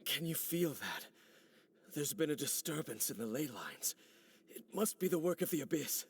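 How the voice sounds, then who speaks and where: A man voicing a serious, commanding warrior in a video game, measured and terse. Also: a little high, very animated, breathy edge, heavily acted. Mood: uneasy. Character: grave, guarded male warrior, commanding and watchful.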